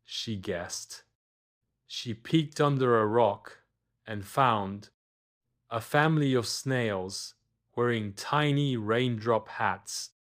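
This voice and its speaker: A man narrates a scripted bedtime story for children. His voice sits at a middle pitch, and he takes the telling at a slow pace. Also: a bit bright.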